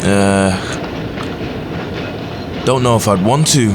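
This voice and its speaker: A man's voice: fairly low pitch, slow, noisy background. A man acting an earnest, thoughtful lecturer and loyal friend in a science fiction audio drama, with a hesitant manner. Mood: reflective, wary. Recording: music under the voice. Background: music.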